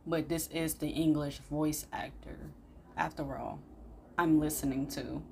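A young woman giving casual, expressive reaction commentary in an informal, admiring way. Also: sits low, measured pace, creaky, over loud music. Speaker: reaction video host.

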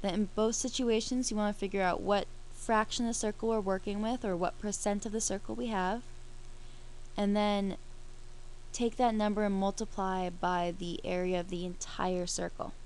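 A woman's voice: mid-range voice, steady tone, moderate pace, darkish tone, a little hiss or hum, rough recording. A woman teaching a math lesson over a screen recording, explaining each step while she works the problem.

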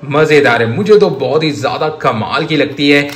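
Indian guy hyping toys, kinda high voice, gushing and repeating himself, roomy echo w a bit of noise. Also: normal speed. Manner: excitable, repetitive, gushing. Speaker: toy review host. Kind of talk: enthusiastic toy demonstration commentary. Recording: echoey room with faint noise.

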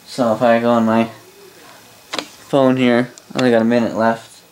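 A Canadian man casually demonstrating a gadget as a reviewer, halting, rambling and correcting himself as he goes. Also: mid-range voice, level tone, a little mellow, slight background noise, low-quality recording. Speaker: product reviewer. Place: small indoor room at a desk.

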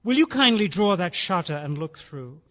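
Sly genial gentleman, effusive, genteel, high-pitched, radio drama, muffled recording. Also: sing-song, normal speed. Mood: proud, relishing. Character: genial, sly country gentleman, proud owner of exotic beasts. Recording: dull, noisy old radio-drama recording.

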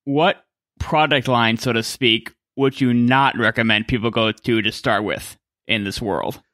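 Male interviewer, bright forceful tone, mid pitch, dry close sound, asking questions. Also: a little slow, dry recording.

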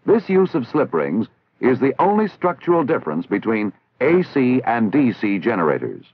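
A man with an American accent narrating a 1960s military training film in a formal, measured, instructional way, on an old film soundtrack. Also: mid-range voice, wildly swooping pitch, breathy edge. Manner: formal, measured, didactic enunciation. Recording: tinny, band-limited 1960s film soundtrack.

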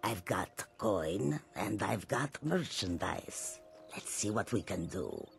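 An actor playing a courteous, weary cat-folk caravan merchant in a fantasy game, with measured formal speech. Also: male voice, on the low side, sing-song, vocal fry, music in the background, heavily acted. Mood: inviting. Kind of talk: fantasy video game dialogue.

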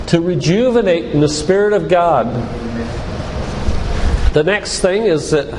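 An older man giving a sermon-like lecture with scripture readings, speaking in a deliberate, emphatic way with pauses. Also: on the high side, a little mellow. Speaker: physician giving a church lecture. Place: large church room, live talk. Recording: echoey hall with background noise.

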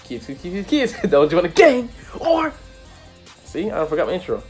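A man's voice: high voice, moderate pace, soft background music. A young man chatting casually and with lots of slang as a reaction video host, recorded in a small room. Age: young adult. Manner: animated, slangy. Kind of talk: casual vlog reaction chat.

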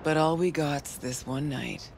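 A woman playing a tough, nostalgic veteran fixer in a video game, talking in an intimate, wry, measured way. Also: sits low, steady tone, gravelly, a little mellow, slight background noise. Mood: wistful.

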